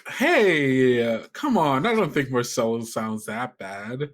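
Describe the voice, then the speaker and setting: A man's voice: mid-range voice, big pitch swings, takes it slowly. A man planning a tabletop game story casually with friends, often restarting his thoughts as a podcast player. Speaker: player in an actual-play podcast.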